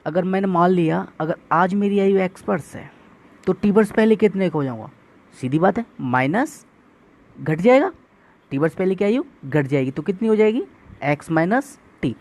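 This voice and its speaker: A man's voice: high-pitched, animated, moderate pace, a little mellow. Man teaching maths step by step. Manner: explains step by step, asks and answers his own questions; calls the viewers friends. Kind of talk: exam-prep maths lesson.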